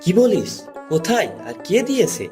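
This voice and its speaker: Lively, chatty village man played by an actor. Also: high-pitched, normal speed. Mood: casual. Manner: chatty, expressive. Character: chatty, excitable village tea drinker, scornful of beggars. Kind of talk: cartoon voice acting. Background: faint music.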